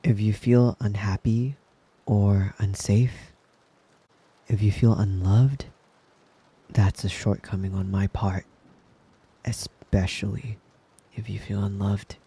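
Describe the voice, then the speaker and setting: A man playing a devoted, possessive young wolf-boy lover in an intimate ASMR roleplay, tender and breathy, often slipping into a whisper. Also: lowish voice, steady tone, dark tone, exaggerated voice. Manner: tender, doting, slow and lingering with pet names. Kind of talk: intimate ASMR roleplay, soothing bedtime murmur.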